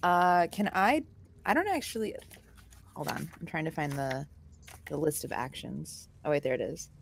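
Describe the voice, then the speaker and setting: Female tabletop player, slightly low voice with vocal fry, casual, tentative, thinking out loud. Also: animated, moderate pace, slight background noise. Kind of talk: casual game chat.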